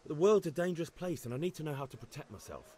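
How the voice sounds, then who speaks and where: A man's voice: a little high, expressive, racing, warm tone. An actor playing a restless, earnest young village man eager for adventure in a medieval video game. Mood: earnest yearning.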